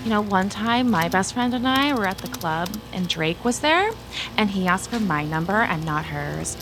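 An actress playing a breezy, self-absorbed young office gossip in an audio drama, gushing and slangy with drawn-out emphasis. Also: female voice, mid-range voice, expressive, over music, close to an everyday voice. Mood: self-absorbed, boastful. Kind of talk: scripted audio drama acting.

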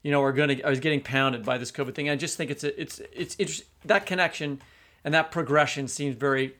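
A man with a slightly high voice talks as a podcast co-host in casual discussion. He is informal and halting, feeling his way toward the right words. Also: fairly even intonation, a bit bright.

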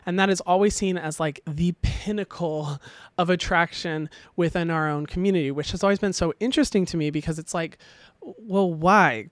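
A young man with a high voice, hosting a podcast and giving a casual, animated opinion monologue full of ums and little laughs. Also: dry recording. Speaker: podcast host.

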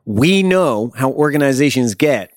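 A man's voice: medium pitch, lively intonation, a little slow, dry recording, studio quality. A man co-hosting a podcast in casual chat, earnestly building his argument. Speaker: podcast co-host. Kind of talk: casual pop culture chat.

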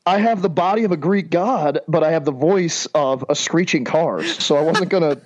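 A man's voice: a little high, moderate pace, dull audio. A man co-hosting a hockey podcast, jokey and boastful, full of casual slang. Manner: jokey, boastful, says dude and bro. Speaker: podcast co-host, former college player.

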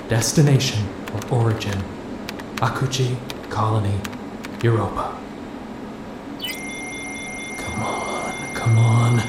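An actor playing a weary, anguished and stubborn space traveler in an audio drama, muttering and exasperated. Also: male voice, medium pitch, very noisy, natural voice. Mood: tense muttering.

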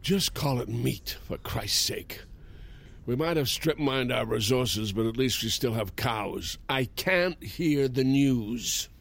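A man's voice: medium pitch, animated, takes it slowly, gravelly, fairly bright tone, full character voice. An actor playing an irritable, demanding elderly patient who swears and snaps at his nurse. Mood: grumbling. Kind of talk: audio drama acting.

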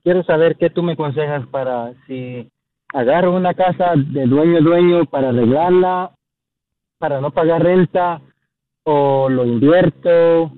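A man's voice: fairly high pitch, measured pace, no echo. A man in his early thirties with a Guatemalan accent, calling in and speaking casually and hesitantly. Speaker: caller. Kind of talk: casual phone conversation. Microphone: phone call. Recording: tinny narrow phone line.

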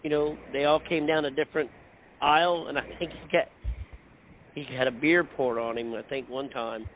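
Man, raised pitch, co-host telling rambling wrestling-ring stories, phone-line quality. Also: steady tone, a little hiss or hum. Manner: storytelling, halting restarts. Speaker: podcast co-host, former wrestler. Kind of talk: casual chat. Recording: tinny phone-like audio.

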